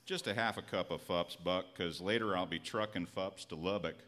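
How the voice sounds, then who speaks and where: A man's voice: low voice, normal speed, fairly bright tone. A man in his thirties playing a laid-back, good-natured beer truck driver in a live comedy sketch full of tongue-twisters. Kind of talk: live radio-style beer ad parody. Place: stage in a small theatre. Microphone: stand microphone.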